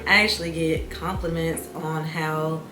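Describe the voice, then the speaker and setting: A young adult woman talking in a calm, soft-spoken, reflective way in a casual vlog. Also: deep voice, unhurried, noisy background. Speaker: YouTuber. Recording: echoey room with music bed.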